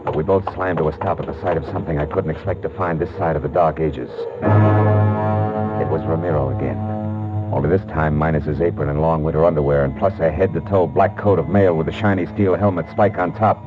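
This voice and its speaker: An actor playing a tough, wisecracking private detective with an American accent in a 1940s radio noir, hard-boiled and wry. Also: male voice, very deep voice, steady tone, fairly quick, muffled recording, loud music behind the voice. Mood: wry, tense narration.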